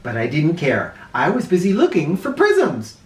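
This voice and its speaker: A man hosting a children's read-aloud, narrating a storybook in an animated style. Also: fairly high pitch, normal speed. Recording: echoey room, distant mic.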